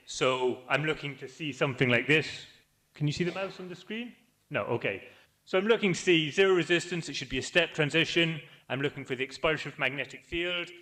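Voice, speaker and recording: A man's voice: on the high side, bright, forceful tone. A man with a Scottish accent giving an upbeat, wry academic talk at a conference. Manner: upbeat, wry, self-deprecating. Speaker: conference speaker. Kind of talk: academic presentation. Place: conference hall.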